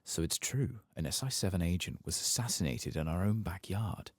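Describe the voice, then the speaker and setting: Man softly reading as a deep, guarded spymaster. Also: lively intonation, moderate pace, dry recording, studio quality. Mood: guarded, concerned. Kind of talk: soft-spoken reading of game quest text.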